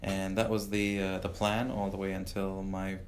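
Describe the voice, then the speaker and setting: A man in his thirties from Uganda, a professor answering interview questions in a measured way, with many "uh" fillers and restarts. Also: deep voice, level tone, a little hiss or hum. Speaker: professor and guest. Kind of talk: podcast interview.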